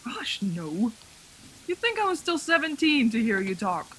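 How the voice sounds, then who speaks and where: A woman's voice: a little high, very animated, a little slow, a bit bright, breathy edge, heavily acted, low-quality recording. An actress playing a frightened young traveler who insists she is sane, in a suspense radio play, hesitating and repeating words. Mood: reassuring.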